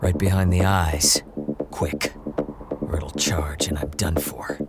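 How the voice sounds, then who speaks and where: An actor playing a hard-bitten lone monster hunter in a science fiction audio drama, speaking in terse, dramatic lines. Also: male voice, extremely low pitch, fairly even intonation, slow, loud music behind the voice. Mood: wary, tense. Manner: terse, self-narrating, dramatic.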